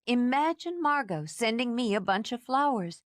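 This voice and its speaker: A sheepish young woman, unwell and apologetic, speaking meekly with a slightly raised, lively voice. A woman voices her in a storybook reading. Dry, close sound. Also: fairly high pitch, moderate pace, no room sound, pitched above the performer's own voice, lightly acted. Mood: pleading. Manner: apologetic, meek.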